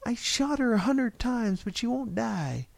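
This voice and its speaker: A man voicing a bratty, belligerent seven-year-old boy who yells his demands in a storybook reading. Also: extremely high pitch, sing-song, measured pace, vocal fry, dry recording, pitched above the performer's own voice, full character voice. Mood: frustrated yelling. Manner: yelling, demanding.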